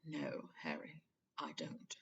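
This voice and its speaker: A woman voicing an old headmaster, somber and measured, gently probing in a storybook reading. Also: on the high side, very animated, vocal fry, whispered, muffled recording, exaggerated voice. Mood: quiet, somber.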